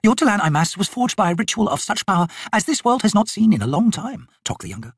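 Grand, flirtatious fantasy sorceress as a male narrator plays her, imperious and lilting, high, very animated delivery. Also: fast, husky, no echo, studio quality, heavily acted. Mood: intrigued, pressing. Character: haughty, teasing noble sorceress, female, flirtatious and grand.

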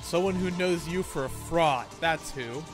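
A man's voice: on the high side, measured pace, music in the background, pitched above the performer's own voice, natural voice. A man voicing an indignant, theatrical young male student in a visual novel, bombastic and accusing. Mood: outraged.

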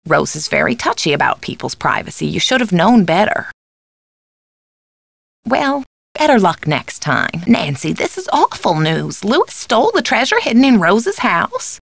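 An actress playing a kindly, motherly middle-aged American housekeeper in a video game, genteel and gently confiding. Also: medium pitch, sing-song, measured pace, vocal fry, no room sound, clean studio sound, heavily acted. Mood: concerned. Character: kindly, motherly, concerned housekeeper woman on the phone. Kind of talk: acted game dialogue lines.

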